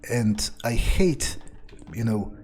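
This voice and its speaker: A scheming vampire, played by a man muses aloud through a video game. He has a medium-pitched male voice, hesitant and condescending, as he improvises in character. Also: lively intonation, slow pace, a little mellow. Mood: grudging, calculating.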